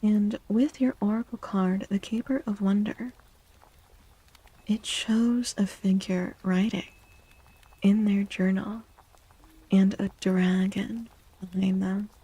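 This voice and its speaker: Slow, breathy, soft-spoken woman. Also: mid-range voice, fairly even intonation, low-quality recording. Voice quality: soft, breathy, often near a whisper. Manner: gentle, slow, deliberate with pauses and repeated phrases. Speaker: streamer, tarot reader. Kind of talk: soft-spoken ASMR tarot reading.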